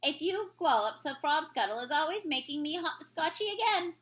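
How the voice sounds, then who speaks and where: A woman voicing a gentle, nervous male giant from a storybook, with quaint, folksy, mangled grammar. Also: high voice, lively intonation, normal speed. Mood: cheerful.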